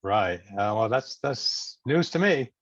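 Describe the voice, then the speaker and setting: A man's voice: medium pitch, lively intonation, slow pace, dry recording. A man chairing an informal online meeting, hesitant and often searching for his words, heard over a video call. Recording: dull, dry video-call audio.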